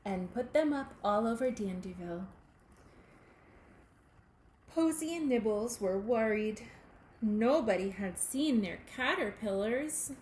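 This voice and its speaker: A woman reading a children's picture book aloud, in a deliberate, expressive way aimed at young listeners. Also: fairly high pitch. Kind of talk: children's storybook read-aloud. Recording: echoey room with faint background noise.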